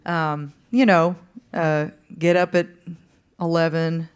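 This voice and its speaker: A woman singer-songwriter from the Upper Midwest, answering interview questions on stage in a relaxed, hesitant way. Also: deep voice, takes it slowly, smooth. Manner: relaxed, hesitant, laughs easily. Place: stage in a concert hall.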